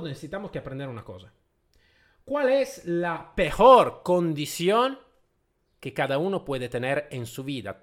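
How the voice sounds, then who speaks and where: A man's voice: fairly high pitch, fairly bright tone. A man from Italy speaking Spanish with an Italian accent, talking emphatically and repeating his points in a casual monologue. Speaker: host.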